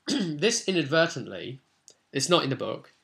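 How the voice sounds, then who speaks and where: A man's voice: on the high side, big pitch swings, unhurried, creaky, fairly bright tone. A man talking informally and at length as a game master explaining a tabletop session. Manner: rambling, informal. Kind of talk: casual explanatory vlog talk.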